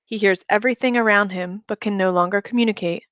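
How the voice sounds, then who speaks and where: A woman reads a novel aloud as a scripted narration. Her voice sits at a middle pitch, and the recording is dry and close, with very little room in it. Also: steady tone, fast, fairly bright tone, smooth, dry recording, muffled recording. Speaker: reader of an audiobook.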